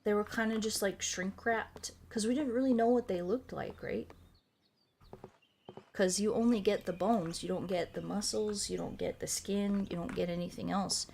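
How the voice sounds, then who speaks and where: A woman's voice: mid-range voice, fairly even intonation, moderate pace, gravelly, darkish tone, a little hiss or hum. A woman streamer chatting casually and enthusiastically, rambling off on tangents and swearing freely. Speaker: video game streamer. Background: background music.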